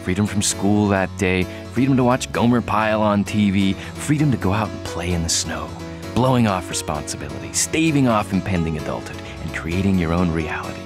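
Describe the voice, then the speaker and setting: A middle-aged American man with a low voice narrates a personal documentary. He tells his story reflectively, leaning on key phrases with deliberate emphasis. Loud guitar music plays under his voice. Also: lively intonation. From United States. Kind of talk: scripted documentary narration, reminiscing.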